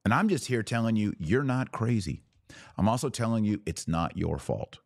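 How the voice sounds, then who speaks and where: Deep-voiced man, exhorting religious podcaster. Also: expressive, moderate pace, gravelly, dry recording, studio quality. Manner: exhorting, emphatic, with rhetorical questions and repetition. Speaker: podcast host. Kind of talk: fervent religious monologue to listeners.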